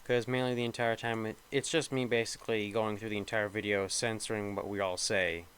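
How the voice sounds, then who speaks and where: A man's voice: fairly low pitch, flat delivery, a little fast, fairly bright tone, clean tone. A young man with a slightly nasal voice, rambling casually and thinking aloud as he talks over gameplay. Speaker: gaming video creator.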